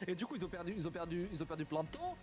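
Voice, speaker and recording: A young man with a high voice talks casually on a live stream. He is halting and repeats words as he goes, laughing often. Faint music plays in the background. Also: sing-song, darkish tone. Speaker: streamer reacting to stories.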